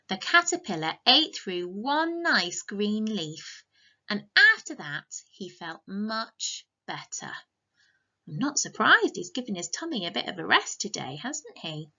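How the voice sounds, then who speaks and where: A woman's voice: mid-range voice, pushed and bright, highs cut off. A woman reading a picture book to young children as a teacher, in an encouraging, sing-song way with questions to the listeners. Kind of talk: interactive children's storytime.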